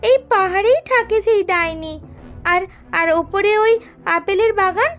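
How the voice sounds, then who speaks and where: A performer as a tiny, high-pitched timid bird girl. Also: measured pace, fairly bright tone, faint music behind. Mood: worried. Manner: pleading, worried. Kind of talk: cartoon fairy-tale voice acting.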